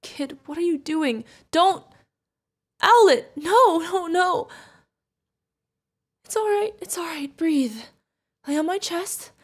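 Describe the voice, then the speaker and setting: A woman's voice: high-pitched, animated, moderate pace, darkish tone. A woman playing a fierce yet tender witch mentor who is protective of an adopted pupil, in an emotional fantasy audio roleplay. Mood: panicked. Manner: emotional, commanding, affectionate, pleading. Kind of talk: ASMR fantasy roleplay acting.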